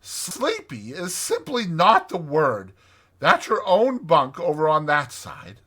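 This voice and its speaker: A man voicing a genial, sleepy gentleman rat in a storybook, hearty and chummy in an old-fashioned way. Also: fairly high pitch, huge pitch swings, slow pace, breathy edge, pitched above the performer's own voice, heavily acted.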